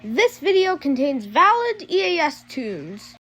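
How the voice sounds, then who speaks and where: An amateur announcer giving homemade news and emergency alert messages in a halting, improvised way. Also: high voice, sing-song. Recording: loud background noise, homemade recording.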